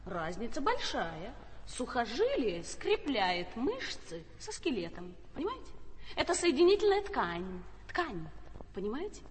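An actress playing a know-it-all waitress who recites textbook facts in a lecturing tone. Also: female voice, a little high, huge pitch swings, unhurried, slight background noise. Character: know-it-all young waitress, veterinary graduate. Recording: stage recording in a reverberant hall.

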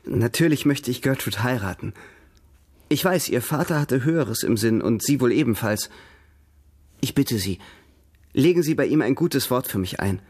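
A man's voice: medium pitch, expressive, normal speed, pauses often, darkish tone, natural voice. An actor in a radio drama playing an eager, lovestruck male suitor, speaking courteously and effusively. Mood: pleading, eager.

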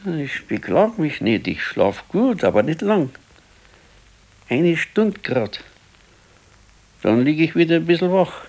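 A man's voice: on the high side, big pitch swings, slow, pauses often, a little mellow, heavily acted, rough recording. A man playing an ailing, stubborn, brooding old husband in a radio play, laconic and weary, with a southern German, Bavarian accent. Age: older. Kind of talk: naturalistic radio play acting.

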